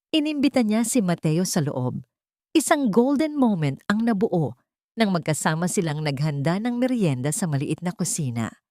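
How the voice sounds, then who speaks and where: Female narrator for late-night drama fiction, lowish voice, solemn measured delivery, very dry recording. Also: no echo. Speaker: story narrator.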